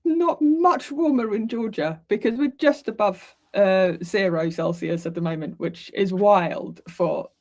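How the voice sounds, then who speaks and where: A woman's voice: medium pitch, measured pace, few pauses, darkish tone. Chatty woman hosting a casual livestream. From Sweden. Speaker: livestream host. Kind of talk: casual live interview chat.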